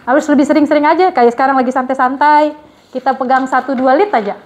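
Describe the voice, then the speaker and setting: A woman's voice: high voice, steady tone, quick pace. A woman coaching trainees to read the news, in a casual, chatty way with many fillers and restarts.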